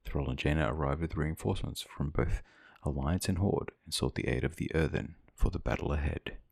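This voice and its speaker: A man narrating game quest logs in a relaxed, scripted storytelling style. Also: a bass voice, brisk, vocal fry, slightly rough, no room sound, clean studio sound. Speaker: gaming video creator narrating.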